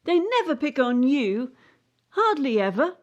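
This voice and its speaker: A woman's voice: high voice, big pitch swings, measured pace, highs cut off. A woman playing a distressed, idealistic young gymnast in a radio play, with an anxious, aggrieved and brooding manner. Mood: anxious, weary.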